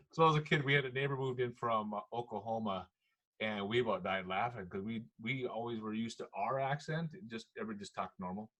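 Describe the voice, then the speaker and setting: A podcast host, chatting casually, laughing often and drifting off topic. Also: male voice, fairly low pitch, fairly quick, fairly bright tone. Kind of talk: casual chat.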